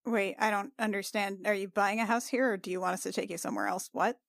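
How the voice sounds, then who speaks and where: A woman's voice: mid-range voice, steady tone, fast talker, vocal fry, fairly bright tone, dry recording, clean studio sound. A woman playing in a tabletop role-playing game, improvising in casual conversation. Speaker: player in a tabletop game podcast. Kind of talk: casual improvised in-character chat.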